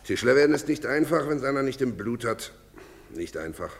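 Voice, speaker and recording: A man's voice: mid-range voice, lively intonation, a little fast, vocal fry. An actor playing a proud, prejudiced master carpenter in a theatrical radio drama, pompous and scolding, hammering home his points by repeating them. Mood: scolding, disdainful. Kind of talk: German-language theatre acting in a radio broadcast. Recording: reverberant theatre-stage recording.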